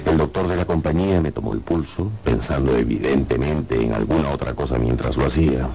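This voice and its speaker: An actor playing an English riverboat pilot who recalls his voyage in a reflective, expressive radio drama narration. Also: rumbling low, a little fast, music in the background. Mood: reflective, wistful.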